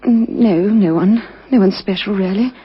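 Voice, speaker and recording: A woman playing a grieving widow in a radio crime drama, hesitant and faltering, with a breathy, husky voice that drops to a hush at times. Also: medium pitch, moderate pace. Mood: hesitant, subdued. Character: grieving recent widow, shaken and halting, adult. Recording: dull lo-fi vintage radio recording.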